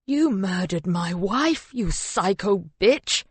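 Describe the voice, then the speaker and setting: An anguished husband in an audiobook drama, played by a woman, accusing and raw with grief. The delivery hisses, moans and cries out in slow, heavy phrases, recorded close and dry. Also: fairly low pitch, husky, dry recording, full character voice. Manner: hissing, moaning, crying out. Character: anguished, angry husband, adult man, grief-stricken and accusing.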